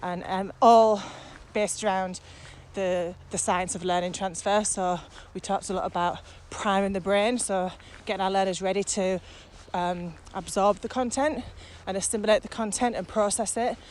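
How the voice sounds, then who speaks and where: A woman with a mid-pitched voice talks in a casual, enthusiastic way as a podcast co-host. She is walking outdoors, with faint ambient noise behind her. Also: normal speed, hardly any pauses. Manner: enthusiastic, animated about the subject. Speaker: podcast co-host and virtual training coach. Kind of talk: casual conversation recorded while walking. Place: outdoors, walking on a muddy path.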